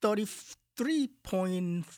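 A man from Korea with a Korean accent, a guest artist in a radio studio interview, speaking calmly and haltingly as he searches for words. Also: sits high, no room sound. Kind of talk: calm interview talk.

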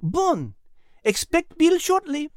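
A man's voice: very high-pitched, huge pitch swings, slow pace, no echo, clean studio sound, voice pushed up, heavily acted. A man voicing a coy, giggly female storybook animal with a dainty, refined manner and a sprinkling of French phrases. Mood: coy, pleased.

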